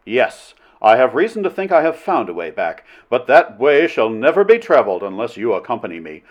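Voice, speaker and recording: Bold adventurer hero, voiced by a male reader: impassioned, archaic oratory, very animated pitch, slightly dull sound. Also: brisk, close to an everyday voice. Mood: passionate, urging. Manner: impassioned, declamatory, archaic formal speech. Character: bold, cunning adventurer hero wooing a princess. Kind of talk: audiobook character voice in old adventure romance.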